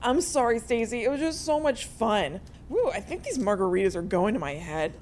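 A woman's voice: fairly high pitch, very animated, moderate pace, a little mellow, soft background music. Actress as a breezy, flippant young woman. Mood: giddy, apologetic. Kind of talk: audio drama acting.

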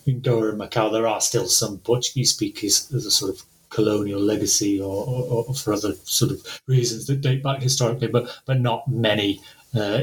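A man's voice: fairly low pitch, rough recording. A man from the UK, a translator speaking hesitantly with many fillers and restarts, then reading a story aloud.

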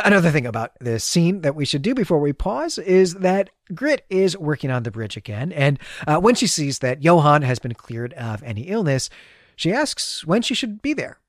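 A man's voice: a little high, no room sound, clean studio sound. A man co-hosting a podcast, talking through a story conversationally and thinking aloud, often repeating words as he works out his point. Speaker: podcast co-host. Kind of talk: conversational literary discussion and story recap.

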